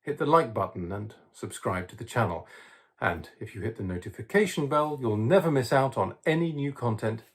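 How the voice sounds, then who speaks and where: Husky, lively man presenting. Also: medium pitch, moderate pace. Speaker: channel presenter. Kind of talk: scripted channel outro.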